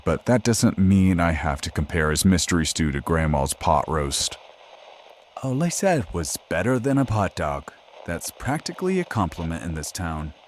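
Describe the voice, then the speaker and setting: Deep, sardonic late-night radio host. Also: male voice. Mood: sarcastic, irritated. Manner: deadpan, sarcastic. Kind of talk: scripted late-night radio show narration.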